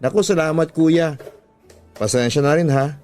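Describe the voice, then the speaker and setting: A man's voice: a little high, normal speed, darkish tone, pitched above the performer's own voice, lightly acted. A man with a Filipino accent voicing a shy, polite young girl in a radio drama, with music underneath. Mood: grateful. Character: shy, sweet young girl, the narrator's crush.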